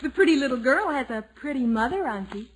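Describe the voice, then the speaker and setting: Gentle young radio niece. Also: female voice, high-pitched, animated, fast talker, low-quality recording. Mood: gently apologetic. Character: mild young married woman, the niece. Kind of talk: 1940s-50s radio sitcom acting. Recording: old radio recording, faint noise.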